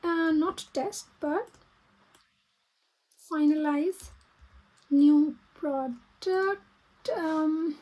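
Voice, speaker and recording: Pakistani lady, urdu native speaker, high voice, casually planning out loud in a vlog, stop and start. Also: poor audio. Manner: thinking aloud, halting while typing. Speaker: vlogger and small business owner. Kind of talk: casual plan-with-me vlog talk.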